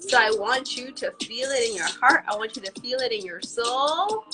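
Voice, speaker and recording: A young woman, raspy from a cold, hosting a live stream and effusively greeting her viewers by name. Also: fairly high pitch, animated, normal speed, loud music behind the voice. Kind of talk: live-streamed motivational talk.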